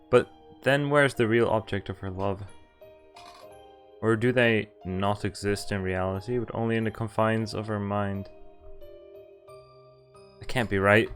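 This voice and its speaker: Guy streamer reading visual novel lines out loud, lowish voice, trips on words sometimes, music behind. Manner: stumbles over words while reading. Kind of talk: read-aloud story narration. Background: game music.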